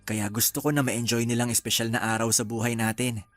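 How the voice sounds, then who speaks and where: An actor playing an easygoing, accommodating young fiancé in a Filipino radio drama, with a casual, agreeable manner. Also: male voice, mid-range voice, flat delivery, fairly quick, no room sound, faint music behind, lightly acted. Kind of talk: Tagalog radio drama dialogue.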